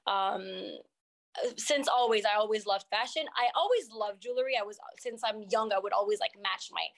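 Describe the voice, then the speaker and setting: A woman's voice: medium pitch, moderate pace, vocal fry. A young woman from Montreal with a French Canadian accent and French as her first language, chatting as an interview guest with many ums and restarts. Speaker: guest entrepreneur. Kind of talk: casual interview chat.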